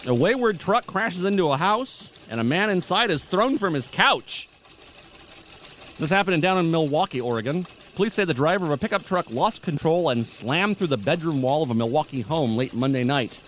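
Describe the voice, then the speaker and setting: Deadpan male radio newsreader. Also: fairly high pitch, moderate pace, slight background noise. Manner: dry, deadpan asides. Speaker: radio news co-host. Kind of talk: reading news items with banter.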